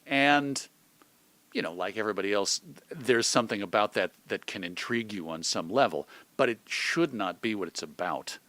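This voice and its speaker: Bright-voiced American man, close and dry. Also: lowish voice, animated, moderate pace, dry recording. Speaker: interview guest, magazine publisher and radio host. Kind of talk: conversational interview discussion.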